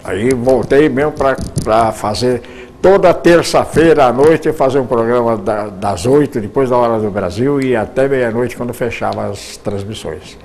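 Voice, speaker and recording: A man's voice: medium pitch, moderate pace, a little mellow, a little hiss or hum. An older Brazilian man, a radio broadcaster, answering interview questions at length, often repeating words as he searches for them.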